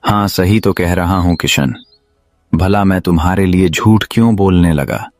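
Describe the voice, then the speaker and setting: Man acting a very deep-voiced, envious schemer. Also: fairly even intonation, a little slow, a little mellow, no echo, soft background music. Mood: resentful, accusing. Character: false friend, envious scheming village man. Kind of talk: Hindi cartoon moral-story voice acting.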